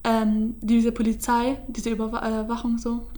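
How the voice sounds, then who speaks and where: A woman's voice: on the high side, completely flat delivery, normal speed, mellow. A young woman from Syria, telling her story in German with many fillers and restarts. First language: Kurdish. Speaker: interviewee. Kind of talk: casual personal account.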